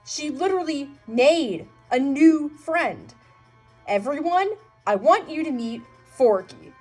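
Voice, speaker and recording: A woman's voice: high-pitched, expressive, slow pace, darkish tone, faint music behind. A woman voicing an earnest, persuasive male toy cowboy in a children's storybook.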